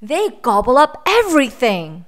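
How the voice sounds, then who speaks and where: A woman's voice: high-pitched, sing-song, moderate pace, pitched above the performer's own voice. A woman voicing a bold small boy in a storybook, emphatic and boastful with scary tales.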